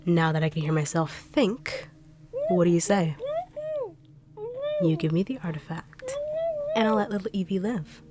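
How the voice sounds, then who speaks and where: A woman voicing a greedy bodyguard turned villain who taunts with cold, quiet menace. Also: fairly low pitch, lively intonation, normal speed, long pauses, natural voice. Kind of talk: audio roleplay acting.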